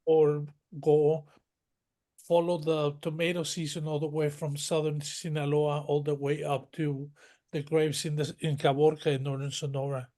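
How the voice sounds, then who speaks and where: A man speaks as an interview guest. His voice sits fairly high for a man. He winds through his memories in long, detailed stretches, doubling back and restarting his sentences as he goes. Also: flat delivery, moderate pace, mellow. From northern Mexico. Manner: rambling, detailed storytelling with many restarts. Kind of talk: conversational interview.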